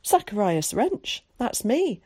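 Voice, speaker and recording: A woman's voice: a little high, very animated, takes it slowly, darkish tone, breathy edge, no room sound, voice pushed up, heavily acted. A woman voicing a voluble, well-spoken young boy in a storybook reading. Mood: chatty. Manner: cultured, voluble.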